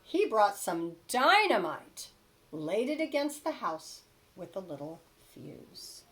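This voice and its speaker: A woman reading a children's storybook aloud expressively, with emphatic stresses and dramatic pauses. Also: mid-range voice, very animated, a bit bright. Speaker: storybook reader.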